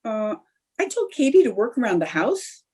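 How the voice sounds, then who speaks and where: A scheming young housewife, played by a woman, in a radio sitcom. Her highish voice swings widely, with a husky, mellow edge, as she coaxes and wheedles. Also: sing-song, normal speed. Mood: sweetly wheedling. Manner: coaxing, theatrical. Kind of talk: old-time radio sitcom acting.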